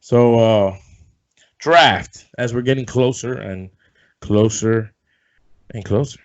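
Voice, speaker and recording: A man hosting a casual sports podcast, rambling and loose, swearing offhand, a bit hoarse as if coming down with something. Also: medium pitch, slow. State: losing his voice, feeling sick. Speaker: podcast host. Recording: dull lo-fi sound.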